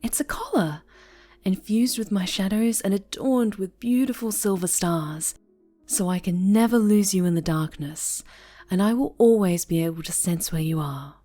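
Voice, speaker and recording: A woman playing an obsessive, possessive dark-angel superheroine turned villain in an intimate ASMR roleplay, half-whispering with menacing tenderness. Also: medium pitch, normal speed, faint music behind, lightly acted. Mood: adoring, intense.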